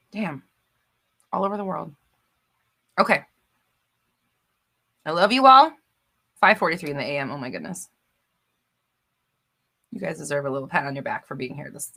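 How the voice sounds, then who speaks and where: Bubbly american woman on a livestream, lively swooping voice, bright tone, laughs a lot. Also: low voice, vocal fry. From United States. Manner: bubbly, laughs often, reads out viewer comments. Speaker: livestream host. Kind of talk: casual live chat reading comments.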